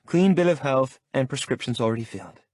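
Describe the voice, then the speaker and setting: A man's voice: medium pitch, expressive, brisk, a little mellow, no echo, studio quality, pitched above the performer's own voice, natural voice. A man voicing a defiant, exasperated young woman. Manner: protesting, pleading. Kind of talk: audiobook character voice.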